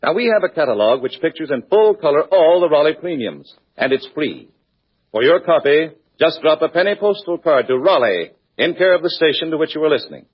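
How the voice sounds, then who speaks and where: A man announcing a scripted 1940s radio commercial in a measured, salesmanlike manner. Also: on the high side, lively intonation, slightly rough. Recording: muffled 1940s radio recording.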